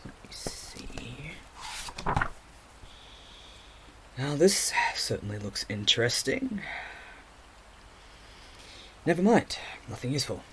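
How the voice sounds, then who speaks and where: A man playing a restless, overthinking investigator with magical sight in an audio drama, muttering haltingly to himself. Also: mid-range voice, a bit bright, slight background noise. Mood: searching, muttering.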